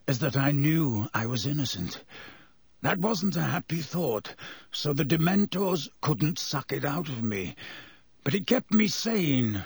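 Guy doing a growly bitter ex-prisoner, hissy and husky, real gravelly, audiobook character. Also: mid-range voice, no room sound, heavily acted. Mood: brooding. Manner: venomous, hissing, deliberate. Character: bitter, vengeful escaped male prisoner, fierce and brooding.

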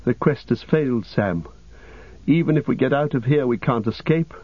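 A man's voice: lowish voice, lively intonation, measured pace, husky, dry recording, muffled recording. A man voicing a wounded, despairing young hobbit in an audiobook, anguished and breathless, veering from weary to frantic. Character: wounded, despairing, feverish young male ring-bearer hobbit.

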